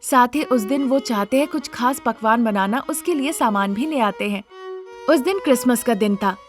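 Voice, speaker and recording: A woman reading a scripted family story as its narrator. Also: on the high side.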